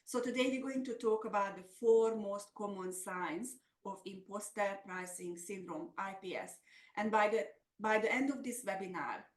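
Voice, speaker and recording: A middle-aged woman with a Hungarian accent, presenting a semi-scripted business webinar as a coach. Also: mid-range voice. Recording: roomy, echoey sound.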